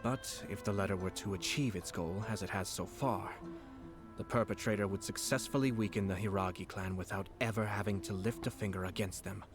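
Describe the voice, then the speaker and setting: An actor playing a calm, calculating nobleman in a video game, speaking in a measured, formal, deliberate way. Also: fairly low pitch, close to an everyday voice. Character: composed, cunning nobleman, calm and calculating commission head. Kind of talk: scripted video game dialogue. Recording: background music under the voice.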